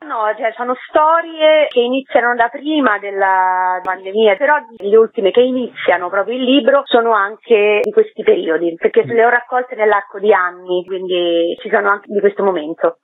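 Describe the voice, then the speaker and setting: A woman's voice: mid-range voice, moderate pace, few pauses, no echo. A woman being interviewed as an author by telephone, talking in a chatty, expansive and descriptive way. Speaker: author interviewed about their book of short stories. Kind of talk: casual phone interview. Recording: tinny telephone line on radio.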